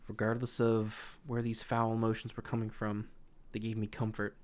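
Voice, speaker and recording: A man voicing a brooding young wanderer who narrates his inner darkness in a solemn, measured, introspective way. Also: on the low side, monotone, natural voice.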